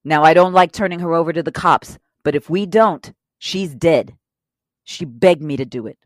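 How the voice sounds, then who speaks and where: Crime audio drama: actress to play hot-tempered but loyal woman robber, blunt and profane, lowish voice, very dry sound. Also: normal speed, no echo, lightly acted. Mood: defensive. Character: tough, loyal, hot-tempered, guilt-ridden female robber.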